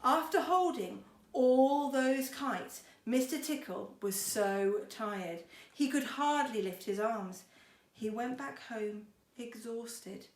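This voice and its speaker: A woman schoolteacher reading a storybook to children expressively, with chatty, encouraging asides. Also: a little high. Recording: roomy echo, faint background noise.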